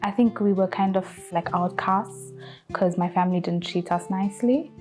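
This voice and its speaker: A woman in her twenties from South Africa, telling her story in a shy, gentle way. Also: mid-range voice, normal speed, faint music behind. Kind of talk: casual interview storytelling.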